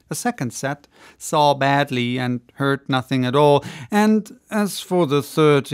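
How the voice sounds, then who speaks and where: A middle-aged man reading a storybook expressively, with measured, deliberate pauses, close to a studio microphone. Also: medium pitch, smooth, dry recording. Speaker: audiobook reader. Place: indoor studio with dark backdrop. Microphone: close studio microphone on desk.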